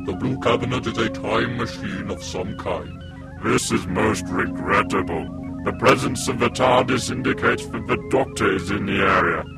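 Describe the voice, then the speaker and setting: A man's voice: lowish voice, natural voice. A man playing a cold, formal villain's henchman. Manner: formal, clipped. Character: cold male villain's underling reporting. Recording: music bed behind.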